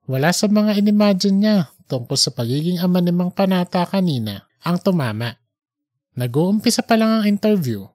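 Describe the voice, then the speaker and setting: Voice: mid-range voice, darkish tone, no echo, clean studio sound. A narrator reading a scripted comic story.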